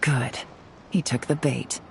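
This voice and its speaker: A woman's voice: extremely low pitch, steady tone, slow pace, gravelly, a little hiss or hum, exaggerated voice. A woman playing a composed, calculating schemer in a video game. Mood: composed, scheming.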